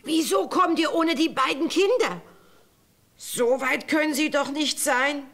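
A spiteful old witch in a fairy-tale audio play. Her voice is high-pitched and she scolds and orders like a tyrant. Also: a little slow, fairly bright tone. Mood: angry scolding. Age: older. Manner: scolding, commanding.

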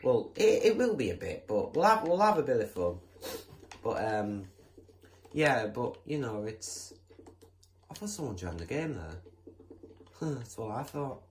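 A chatty male host talking through a building session in a game, with a lively voice that jumps around in pitch. He rambles, circles back with fillers and restarts, and sometimes breaks into excited high yelps. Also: unhurried, long pauses, a little hiss or hum. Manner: bursts into excited high exclamations. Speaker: gameplay channel host. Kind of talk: casual game commentary while building.

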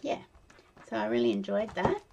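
An Australian woman with a tired, croaky voice chatting casually and laughing as she demonstrates card making at her craft desk. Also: mid-range voice, animated, normal speed, heavy background noise. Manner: chatty, laughs often, mutters to herself while working. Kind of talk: casual crafting tutorial chat. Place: indoor craft desk, overhead camera.

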